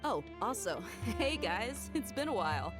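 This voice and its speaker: A woman's voice: mid-range voice, sing-song, a little slow. A woman voicing an easygoing, teasing adult woman in a video game, laughing easily. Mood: relaxed. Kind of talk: game voice acting. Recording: studio voice over game music.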